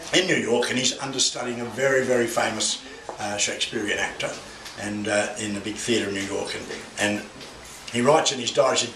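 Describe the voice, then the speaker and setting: An older man gives a rambling, anecdotal speech at an exhibition opening, with many restarts and bawdy asides. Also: mid-range voice. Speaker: guest speaker opening an art exhibition. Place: gallery with a crowd. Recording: echoey room, far from the mic, noisy.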